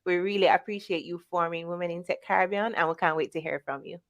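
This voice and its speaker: A woman's voice: on the low side, fairly even intonation, quick pace, lo-fi sound. A woman with a Jamaican accent hosting an online conference, greeting viewers and introducing the next speaker. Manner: upbeat, welcoming roll call of viewers. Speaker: conference host. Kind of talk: livestream event hosting.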